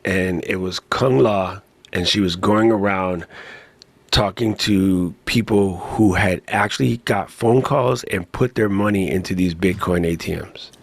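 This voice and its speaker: Animated slangy man telling a story. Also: deep voice, a little slow, creaky, no room sound. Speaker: podcast panelist. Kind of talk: casual chat.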